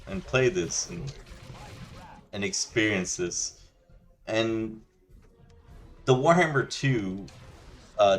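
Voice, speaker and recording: Guy ranting casually, low voice, rambly and chill, lots of you know. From Denmark. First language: Danish. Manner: rambling, loose and chatty, many restarts and "you know". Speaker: gaming channel host. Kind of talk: casual rant over gameplay.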